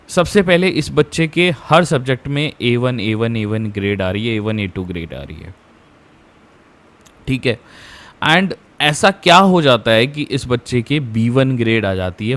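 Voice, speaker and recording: An Indian man hosting an informal explainer for students, conversational, mixing Hindi with English and repeating phrases for emphasis. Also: medium pitch. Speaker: education YouTuber, host.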